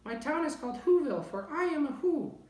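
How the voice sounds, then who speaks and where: A man's voice: very high-pitched, big pitch swings, brisk, darkish tone, voice pushed up. A man voicing a tiny, earnest, grateful storybook mayor with a thin piping voice. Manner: polite, grateful. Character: tiny earnest mayor of a speck-sized town. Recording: roomy, distant microphone.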